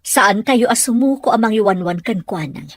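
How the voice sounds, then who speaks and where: A woman acts out a middle-aged mother in a serial family drama. The delivery swings widely in pitch and has a husky grain. She is patient and protective, explaining gently with open feeling. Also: lively intonation, normal speed, dry recording, lightly acted. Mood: gentle, earnest. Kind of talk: radio-style serial drama acting.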